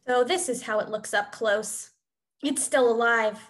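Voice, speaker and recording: A woman playing a blunt, wary, disdainful wolf, speaking in measured statements in a play reading. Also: a little high, lightly acted.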